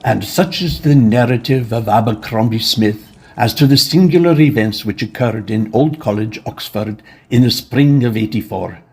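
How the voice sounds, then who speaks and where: Man playing a grave victorian author narrating horror, husky voice, measured and solemn. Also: medium pitch. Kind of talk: scripted dramatic narration.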